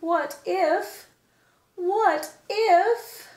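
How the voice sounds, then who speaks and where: Woman voicing a high, dramatic, anxious cartoon elephant. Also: a little mellow, echoey room, heavily acted. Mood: worried, dramatic. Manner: exclamatory, halting build-ups to sneezes. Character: anxious, dramatic elephant who sneezes explosively.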